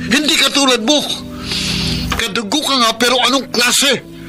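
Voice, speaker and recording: Music plays clearly behind a very high-voiced young man, played by an actor. He is a protective, reproachful older cousin in a radio-style drama. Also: normal speed. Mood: scolding, hurt. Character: protective, scolding adopted older male cousin.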